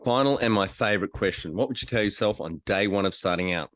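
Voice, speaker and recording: A man's voice: lowish voice, quick pace, a bit bright, dry recording. A man who hosts a podcast, asking questions and giving brief, friendly interjections. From Australia. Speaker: podcast host.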